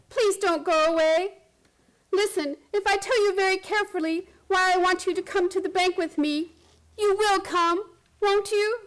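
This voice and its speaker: A very high-pitched female voice in a radio play. An actress plays an earnest, troubled schoolteacher who confides intensely and pleads to be believed. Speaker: narrator and lead character of radio play. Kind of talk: radio drama acting.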